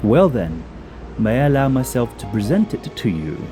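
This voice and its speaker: Guy doing a smug formal evil mastermind, audio drama, very lively pitch, music behind him. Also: moderate pace, close to an everyday voice. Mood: smug politeness. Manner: formal, mock-courteous, commanding. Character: menacing male villain boss, coolly polite, mad schemer.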